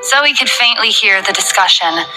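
Woman narrator, novel reading, bright and clear, quiet music bed. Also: medium pitch, expressive, normal speed, low-quality recording. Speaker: audiobook narrator.

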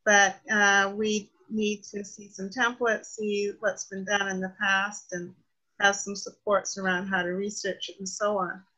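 Very bright-voiced woman on dull call audio. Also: mid-range voice, little pitch movement, a little slow. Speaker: meeting participant. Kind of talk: informal meeting discussion. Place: indoors on a group video call.

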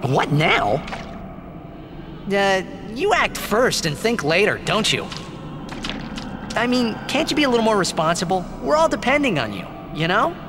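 A man's voice: sits high, big pitch swings, unhurried, pauses often, background noise. A young man playing a brash, impulsive young hero with a casual, protesting manner in a video game cutscene. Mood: nagging, casual. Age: young adult. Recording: music behind the voice.

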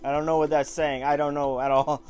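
A man's voice: a little high, little pitch movement, fairly quick, darkish tone, faint music behind. A man in his twenties hosting a casual toy review, chatty and enthusiastic in an informal way. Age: 20s. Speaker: toy review show host. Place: small room at home.

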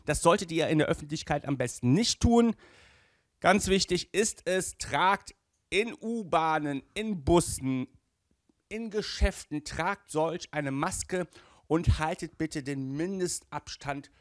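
A man in his forties hosts a collectors' show in a fairly high voice. He takes his time, leaning on words with an emphatic, enthusiastic delivery. The sound is close and dry. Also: animated, slow pace, dry recording. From Germany. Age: 40s. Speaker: collector magazine show host. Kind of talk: casual enthusiastic presenting to camera. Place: small indoor room with toy displays. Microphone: handheld microphone, later headset.

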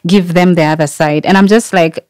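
A woman's voice: lowish voice, steady tone, a little fast, darkish tone. A woman in her thirties with a Kenyan accent, hosting a casual podcast conversation in a candid, questioning manner. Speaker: podcast host. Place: small indoor podcast studio. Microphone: close boom-arm studio microphone.

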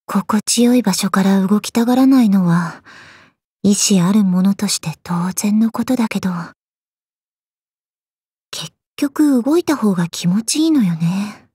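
A woman voicing a cool, aloof, quietly caring gorgon swordswoman in a game, languid, deadpan and curt, with a soft, husky voice. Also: medium pitch, measured pace, no echo, clean studio sound. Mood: languid, teasing. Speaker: voice actress. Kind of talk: scripted Japanese mobile game character lines.

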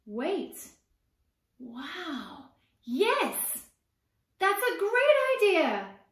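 A woman's voice: very high-pitched, wildly swooping pitch, very slow, pitched above the performer's own voice, full character voice. A woman voicing an imaginative little boy, an older brother in a storybook, in an emphatic, exclaiming way. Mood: thrilled. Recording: roomy echo, distant microphone.

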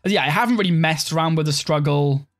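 A man's voice: a little high, fast, soft background music. A young man from Wales, casually commentating his strategy game live and chatting with viewers, rambling and filling his talk with "like.". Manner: relaxed, rambling, heavy use of "like". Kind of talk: live-stream gameplay commentary, reading chat.

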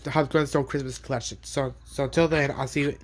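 A man's voice: medium pitch, fairly even intonation, fast. A man with a cold, talking casually in a bedroom vlog while showing his DVDs, often repeating words and restarting his sentences. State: has a cold. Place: bedroom, indoors. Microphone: handheld phone pointed at bed.

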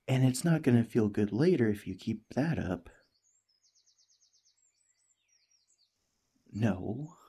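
Man playing a husky, gentle, cheerful alien parasite. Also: mid-range voice, full character voice. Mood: soothing, apologetic. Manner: gentle, chatty, playfully earnest. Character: cheerful, friendly young male alien parasite, eager and reassuring. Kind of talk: ASMR roleplay acting.

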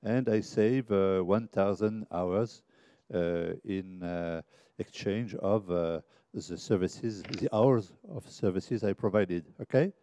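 A man in a conference audience asking a long question, hesitant with many pauses and restarts. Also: low voice, dark tone, dry recording.

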